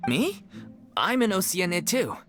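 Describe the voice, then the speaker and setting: A man's voice: high-pitched, big pitch swings, unhurried, over loud music. A man playing a good-natured, hearty stage actor in a video game. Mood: proud.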